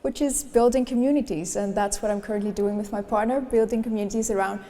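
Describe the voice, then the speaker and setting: A woman's voice: medium pitch, quick pace, mellow. A young woman speaking as a panelist, poised and articulate. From Greece. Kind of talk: panel discussion answers. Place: conference hall on stage. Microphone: handheld mic. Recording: reverberant conference hall.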